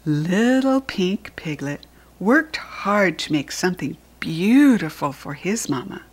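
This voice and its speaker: A woman with an American accent reading a children's picture book aloud in a deliberate, teacherly way. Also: lowish voice, sing-song, husky. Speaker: storybook reader.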